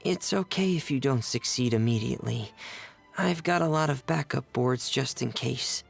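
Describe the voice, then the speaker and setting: A man playing a shy, earnest, soft-spoken teenage boy mechanic in a video game, reserved and polite. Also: mid-range voice, moderate pace, creaky, slightly rough, faint music behind. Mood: calm, helpful.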